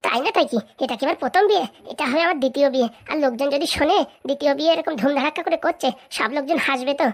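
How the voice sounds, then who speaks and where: Woman acting brash scheming man, comic cartoon, high voice, very rapid, blunt. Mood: indignant, brisk. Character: brash, scheming, hospitable man planning a second wedding.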